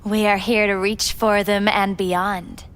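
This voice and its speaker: An actress as a slow, hushed, enigmatic female companion. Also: mid-range voice, level tone, natural voice. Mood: probing. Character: enigmatic, soothing young female companion who probes minds. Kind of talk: video game dialogue acting.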